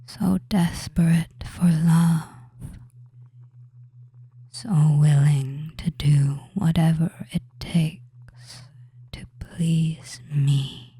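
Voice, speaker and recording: A possessive, doting witch, acted out by a performer in a hypnosis audio roleplay. The voice is a soft, breathy whisper, low and mellow. It moves very slowly, lulling and controlling, with deliberate pauses. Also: steady tone, heavily acted.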